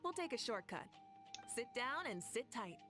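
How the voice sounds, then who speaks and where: Casting: assured, playful young female game leader, high and animated delivery. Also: normal speed, soft background music. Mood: assured, friendly. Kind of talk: video game character acting.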